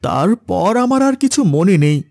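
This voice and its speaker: A man's voice: sits high, big pitch swings, normal speed, vocal fry, darkish tone, no echo, clean studio sound, full character voice. A man playing an anxious, haunted village van driver in a horror cartoon, stammering when frightened. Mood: subdued, shaken. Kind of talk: dramatic horror cartoon acting.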